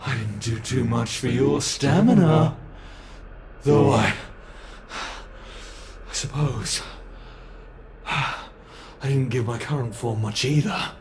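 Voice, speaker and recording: A man's voice: mid-range voice, slow, long pauses, a little hiss or hum. A man with a British accent playing a predatory male monster from under the bed in an ASMR horror roleplay, taunting his prey with playful menace. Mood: taunting chase.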